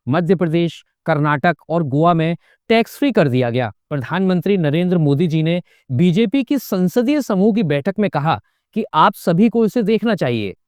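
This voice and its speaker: A man's voice: high-pitched, dry recording, studio quality. A man narrating a scripted political commentary, pointed and emphatic, with sarcastic asides. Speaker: political video essayist.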